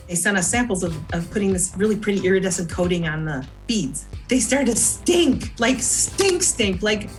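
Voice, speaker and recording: A woman's voice: mid-range voice, poor audio. A woman, a toy designer guest, tells a story in a playful and emphatic way.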